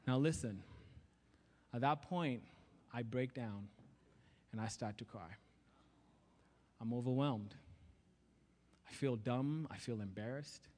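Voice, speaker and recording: A man with a Kenyan accent preaching in church, telling a personal story with lively acted-out voices. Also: mid-range voice, slow pace, pauses often. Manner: animated storytelling, acting out voices. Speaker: guest preacher. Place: church sanctuary.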